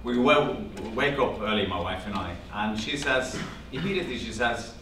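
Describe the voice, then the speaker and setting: A man's voice: fairly low pitch, normal speed. A man in his fifties with a Norwegian accent, animatedly telling a personal story to a small audience and quoting family conversations. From Norway. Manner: animated storyteller, quotes family dialogue, gestures while talking. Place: classroom-sized meeting room with projector screen. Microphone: far camera, no visible mic. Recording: echoey room from far off, background hum.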